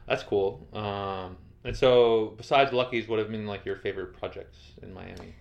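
Low-voiced rambling male host. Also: normal speed, vocal fry. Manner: rambling, lots of fillers, chatty. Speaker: podcast host, interviewer. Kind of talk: casual rambling interview. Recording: dull lo-fi audio.

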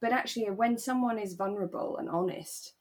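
A woman co-hosting a podcast, talking thoughtfully and in a relaxed way about a radio drama, repeating phrases for emphasis. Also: mid-range voice, brisk. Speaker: podcast co-host.

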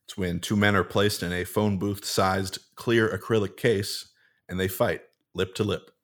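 A man playing a huge, deadpan, mock-dignified brawler sidekick in a doomsday bunker, in conversational comedic radio drama. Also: deep voice, measured pace. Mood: matter-of-fact. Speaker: co-host of a comedic podcast drama.

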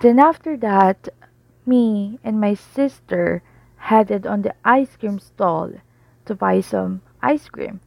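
A woman's voice: mid-range voice, slow, gravelly, rough recording. A woman playing a shaken schoolgirl daughter giving her testimony in an amateur radio drama. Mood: troubled. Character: shaken schoolgirl daughter recounting what she saw. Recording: guitar music behind the voice. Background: guitar music.

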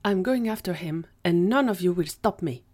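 A woman's voice: fairly low pitch, animated, normal speed, darkish tone. A woman voicing an eager, jumpy, easily frightened man in an audio story. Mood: determined.

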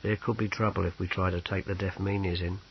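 A man's voice: mid-range voice, animated, normal speed, breathy edge, highs cut off. Man voicing a firm, wary army sergeant. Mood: uneasy, hushed. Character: wary, practical male army sergeant, patient and steady.

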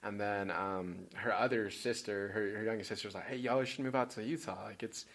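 Young man, from Peru, low voice, laid-back, rambling, filler-heavy chat. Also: fairly even intonation, a little fast, a bit bright. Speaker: podcast guest. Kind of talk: casual chat.

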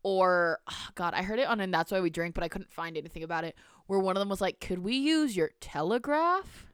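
A woman's voice: lowish voice, animated, fairly quick, smooth, no echo, clean studio sound. A woman in her twenties co-hosting a podcast, telling a story in casual chat, teasing and swearing freely. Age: 20s. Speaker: podcast co-host.

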